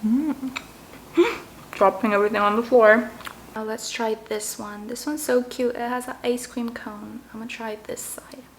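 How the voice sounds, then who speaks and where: A woman in her twenties, raised in Canada, chatting casually and brightly to viewers while tasting desserts. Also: on the high side, fairly even intonation, measured pace, a little hiss or hum, poor audio. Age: 20s. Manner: bubbly, chatty, eating between sentences. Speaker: vlogger. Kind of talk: casual mukbang chat.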